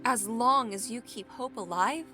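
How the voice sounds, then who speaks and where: A woman's voice: fairly high pitch, measured pace, music in the background, close to an everyday voice. An actress playing an idealistic young woman in an audio drama, earnest and tender with a teasing streak. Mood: hopeful, earnest. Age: young adult. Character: idealistic, playful young woman, hopeful and affectionate.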